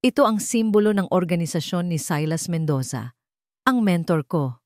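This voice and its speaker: A woman's voice: lowish voice, animated, moderate pace, a little mellow, no room sound, clean studio sound, lightly acted. A woman voicing a determined young female bodyguard in an audio drama, wary and urgent in her questioning. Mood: uneasy.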